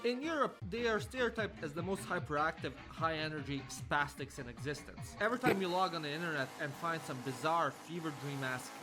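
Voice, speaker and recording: A wry, sarcastic male narrator reads a comic script in a mock-scholarly tone, slightly high for a man. Music runs clearly beneath him throughout. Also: lively intonation, fairly quick, hardly any pauses. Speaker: video narrator.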